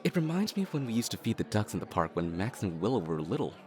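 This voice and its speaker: An actor playing a wry, easygoing elder brother in an audio drama, speaking with dry sarcasm. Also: male voice, fairly low pitch, very animated, quick pace, a little mellow. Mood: wry, amused. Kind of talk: naturalistic audio drama acting.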